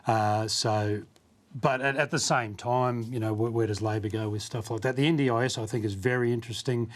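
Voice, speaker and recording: A man with an Australian accent giving unscripted political analysis on the news, conversational with halting restarts. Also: medium pitch, steady tone.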